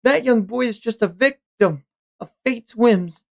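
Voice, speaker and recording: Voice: medium pitch, unhurried, vocal fry, voice pushed up. A streamer voicing a distraught, loyal manga defender who protests passionately for an innocent child. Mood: indignant, desperate. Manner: impassioned, protesting.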